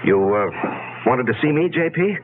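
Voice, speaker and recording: A man's voice: mid-range voice, lively intonation, normal speed, lightly acted. An actor playing a flustered, evasive well-off businessman who hesitates and stammers, in 1970s radio drama style. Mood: defensive. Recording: hissy, narrow-band 1970s radio recording.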